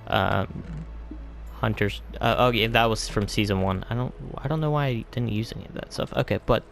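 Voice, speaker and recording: A man's voice: fairly low pitch, normal speed, gravelly, a bit bright, soft background music. A man giving a casual, rambling game tour as video host, hesitant and often trailing off into vague asides.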